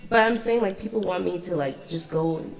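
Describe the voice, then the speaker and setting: A young woman born in DC and partly raised in Ghana, chatting casually as a podcast guest with a relaxed, pause-filled manner. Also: on the low side, vocal fry, reverberant, soft background music. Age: young adult. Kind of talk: casual chat.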